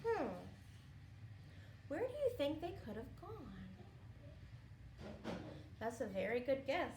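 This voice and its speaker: A woman teacher reading a story aloud to young pupils, expressive and often addressing listeners with questions. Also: high-pitched, slow. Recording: roomy, distant home recording with noise.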